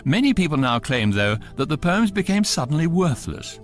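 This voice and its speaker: A man's voice: fairly high pitch, sing-song, moderate pace. A man with a British accent as the urbane, wry voice of a galactic guidebook, narrating a scripted radio comedy. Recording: faint music under the voice.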